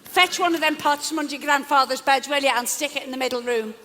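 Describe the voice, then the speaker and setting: A brisk landlady and mother in a stage play. She is high and piercing, kindly yet bossy, with colloquial speech ringing around a theatre hall. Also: sharp tone. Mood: bossy, calling out.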